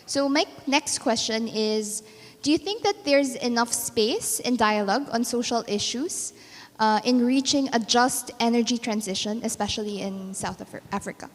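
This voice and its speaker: A woman's voice: fairly high pitch, normal speed. A woman interviewing someone conversationally, searching a little for her phrasing. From Philippines. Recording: echoey hall with long reverb.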